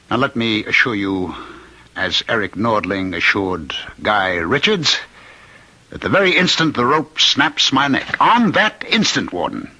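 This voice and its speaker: Condemned killer, radio mystery: piercing, forceful, husky, theatrical menace with insistence. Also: male voice, fairly low pitch, exaggerated voice, rough recording. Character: condemned male killer claiming to be Jack the Ripper's spirit. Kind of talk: old-time radio mystery acting. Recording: vintage radio drama recording.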